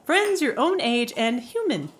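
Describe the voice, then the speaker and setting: An actress playing a stern but caring middle-aged farm aunt in an audio drama, brisk, no-nonsense and dryly humorous. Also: female voice, high-pitched, sing-song, a little hiss or hum. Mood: teasing, fond. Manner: scolding, no-nonsense, dry-humoured.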